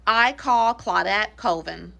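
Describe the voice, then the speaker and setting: A woman reads a courteous male civil rights lawyer in a readers theater play. The voice is bright and forward, mid-pitched, with a measured, formal manner. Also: vocal fry.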